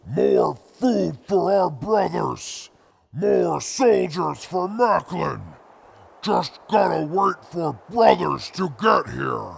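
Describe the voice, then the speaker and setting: Hulking mutant brute, very gravelly and husky, crude, menacing, post-apocalyptic radio play. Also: male voice, high-pitched, expressive, slow pace, full character voice. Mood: gloating menace. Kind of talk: post-apocalyptic audio drama acting.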